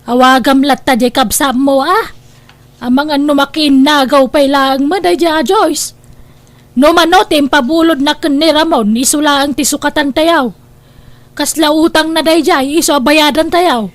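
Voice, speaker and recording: A woman's voice: high voice, normal speed, no room sound, natural voice. A woman playing a money-minded, scheming mother in an Ilocano family drama. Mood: calculating, insistent. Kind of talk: Ilocano radio-style family drama acting.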